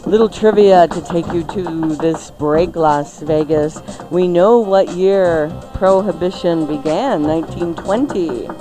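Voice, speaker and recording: A woman co-hosting a radio cooking show with playful, teasing, theatrical banter. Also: on the low side. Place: radio studio.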